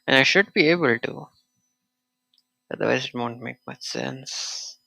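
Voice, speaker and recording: Male game streamer, slow and halting, gravelly tone, faint game music behind. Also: mid-range voice, bright, forceful tone, dry recording. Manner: slow, hesitant, murmuring to himself with fillers. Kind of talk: casual live gameplay commentary.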